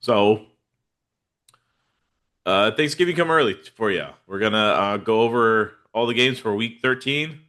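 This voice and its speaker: A man's voice: fairly low pitch, lively intonation, measured pace, long pauses, fairly bright tone. A man with a head cold, hosting a casual football podcast in a laid-back, rambling way with lots of fillers. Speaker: podcast host. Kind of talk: casual fantasy football chat.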